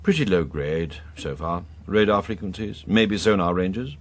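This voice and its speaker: An actor playing a discreet, composed British intelligence officer in a radio drama, clipped, courteous and precise. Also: male voice, sits low, animated, measured pace, slight background noise.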